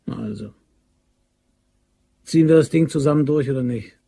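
A man's voice: mid-range voice, normal speed, gravelly, dark tone, husky. An actor in a German crime radio drama playing a pushy, determined young man plotting a robbery, insistent and goading.

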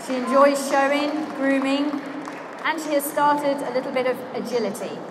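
A woman working as ring announcer in a large indoor exhibition hall, calling out names slowly and clearly over a public-address system. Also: high voice, fairly even intonation. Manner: slow, declamatory announcing of names. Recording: crowd and hall noise.

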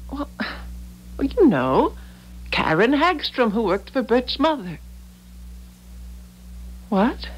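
A woman's voice: a little high, poor audio. An actress playing a polite, effusive, easily astonished society woman in a 1970s radio drama. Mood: stunned disbelief. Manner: polite, effusive, halting in disbelief. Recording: faint noise, old radio broadcast.

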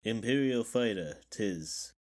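An actor playing a wise old Jedi mentor, calm and solemn, in a measured Shakespearean dramatic reading. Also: male voice, on the low side. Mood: grave, foreboding. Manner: measured, archaic theatrical verse.

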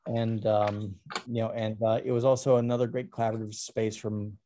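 A middle-aged man talking casually about his artwork on a video call, halting with many ums and restarts. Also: fairly low pitch, little pitch movement, mellow, slight background noise. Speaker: artist presenting his work. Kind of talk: casual talk over a slide presentation.

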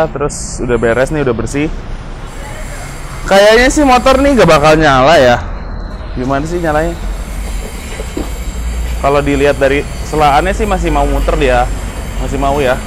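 A man's voice: mid-range voice, normal speed, long pauses, a little hiss or hum, poor audio. A young man chatting casually and jokingly while he washes and inspects an old scooter in an open garage. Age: young adult. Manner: relaxed, joking, slangy, talks while working. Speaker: vlogger. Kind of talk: casual vlog chat. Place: open garage courtyard, outdoors. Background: music.

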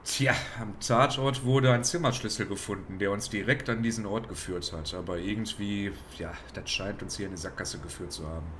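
A very deep male voice of an actor plays a weary, dismissive cop in a detective parody. He grumbles offhandedly in colloquial speech, with faint ambience behind him. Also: fairly quick, hardly any pauses, a bit bright, slight background noise, natural voice. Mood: jaded, dismissive. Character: jaded, dismissive policeman in a parody detective audio play. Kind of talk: parody audio drama acting.

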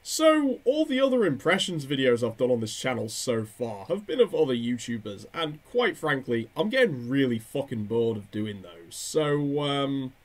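A man's voice: medium pitch, sing-song, moderate pace, hardly any pauses. A young man chatting casually to camera in a hesitant, rambling way, at a desk microphone in a bedroom. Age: young adult. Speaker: video creator and amateur voice impressionist. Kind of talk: casual vlog talk to camera. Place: small bedroom indoors. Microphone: desk condenser microphone close in front.

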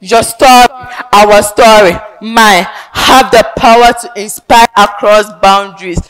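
A young woman with a Ghanaian accent performing a theatrical advocacy monologue on a large indoor stage, emphatic and declamatory with sudden loud swells. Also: mid-range voice, steady tone, measured pace, clean tone, rough recording. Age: young adult. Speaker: pageant contestant.

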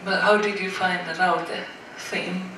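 A woman's voice: lowish voice, flat delivery, a little slow. A woman moderating a panel, putting questions to the speakers. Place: dim auditorium with seated audience. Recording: echoey noisy hall, far from mic.

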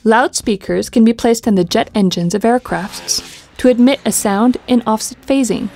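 A woman reading scripted documentary narration in a clear, measured way. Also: fairly high pitch, a little mellow, slight background noise. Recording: studio voice-over with music behind. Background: music.

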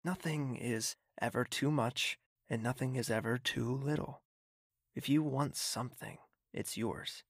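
A man playing a wealthy, smitten, possessive young nobleman in an intimate audio drama, speaking in a husky, teasing, courtly way. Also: mid-range voice, measured pace, dry recording, studio quality. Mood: assured, devoted. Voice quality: husky, breathy, creaky.

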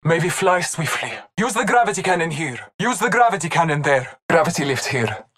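Solemn hunter warrior, higher-pitched. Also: male voice, big pitch swings, a little fast, background noise. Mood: solemn, reverent. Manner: solemn, formal, ceremonial phrasing. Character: solemn, devout hunter warrior of the old gods. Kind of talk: video game character callouts.

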